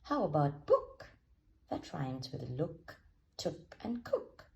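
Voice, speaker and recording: A woman's voice: deep voice, very animated, takes it slowly, gravelly, darkish tone, heavily acted. A woman voicing a cheerful, forgetful young male pig poet from a children's storybook, earnest and exclamatory, sing-song when reciting. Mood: eager. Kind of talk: children's storybook character reading.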